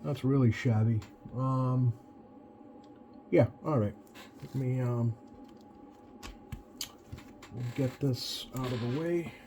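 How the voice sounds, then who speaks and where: A man's voice: mid-range voice, slow pace, long pauses, warm tone. A man thinking aloud in casual hands-on commentary, muttering to himself as he tinkers. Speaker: radio hobbyist doing bench tests. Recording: noisy, with clear background noise.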